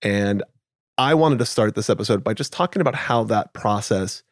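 Casting: male podcast host, lowish voice, easygoing chat, dry close sound. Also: normal speed, no room sound. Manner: relaxed, thinking aloud with fillers. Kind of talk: casual conversational chat.

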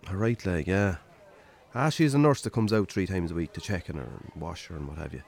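An actor in a radio drama playing a weary, sardonic Irish farmer with a dry, colloquial, sweary way of talking. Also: male voice, sits low, brisk, gravelly, darkish tone. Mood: wry, downbeat. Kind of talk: naturalistic radio drama acting.

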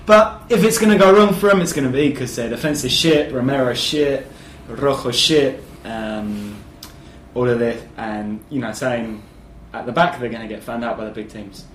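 A man co-hosting a casual football podcast, talking in an animated, emphatic way and swearing casually. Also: mid-range voice, moderate pace, roomy sound, slight background noise, poor audio. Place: living room.

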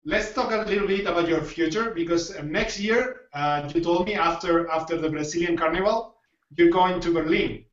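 A man hosting a casual podcast interview in non-native English, speaking haltingly as he searches for words. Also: on the high side, roomy sound, far from the mic, highs cut off. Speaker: podcast host.

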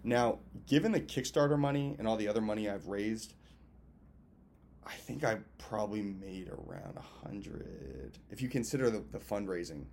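A man's voice: fairly low pitch, lively intonation, moderate pace, creaky, darkish tone, slight background noise. A man in his twenties from Massachusetts, rambling casually and with plenty of swearing as he talks viewers through a birthday check-in from a hotel. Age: 20s. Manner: loose, profane, talks to viewers as friends. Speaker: filmmaker and event host vlogging.

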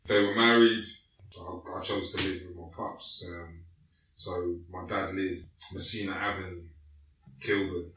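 A man's voice: low voice, steady tone, unhurried, pushed and bright, distant mic, a little hiss or hum. A man in his late thirties with a London accent, telling his life story in loose, slangy casual chat full of fillers. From North West London, England. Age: late 30s. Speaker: guest. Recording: echoey room, away from the mic.

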